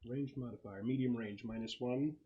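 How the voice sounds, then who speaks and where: A man's voice: mid-range voice, fairly even intonation, moderate pace, highs cut off. A man speaking as game master, explaining rules and narrating the action in a conversational way.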